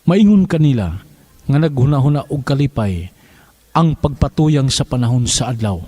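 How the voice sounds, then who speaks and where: Dark, husky man reading scripture. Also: mid-range voice, expressive, normal speed, dry recording. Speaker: scripture reader. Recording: music behind.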